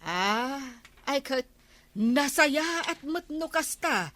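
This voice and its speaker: Ilocano village lady in radio comedy, slow, highish, clear bright tone. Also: expressive, exaggerated voice. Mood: grumbling. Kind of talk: broadcast radio comedy drama acting.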